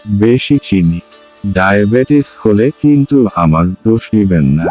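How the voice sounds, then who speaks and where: A man's voice: fairly low pitch, animated, measured pace, a little mellow, dull audio, soft background music. A man playing a wisecracking village tea seller in a cartoon. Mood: teasing. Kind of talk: cartoon dialogue.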